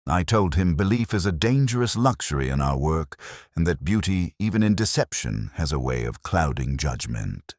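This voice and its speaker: A man acts out a brooding, literary police inspector telling his case in a scripted mystery drama. The voice is exceptionally deep, yet it rises and falls expressively. He is measured and reflective, full of quiet doubt, and the sound is dry and close. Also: no room sound, exaggerated voice. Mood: brooding, doubtful. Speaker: narrator. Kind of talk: scripted first-person detective mystery narration.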